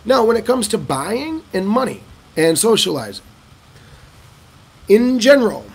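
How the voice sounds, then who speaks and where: A man casually answering viewer questions in a vlog, telling stories in an animated way and acting out other people's lines. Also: a little slow, gravelly, husky. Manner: animated storyteller who acts out locals' lines in a higher voice. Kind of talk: casual talking-head Q&A.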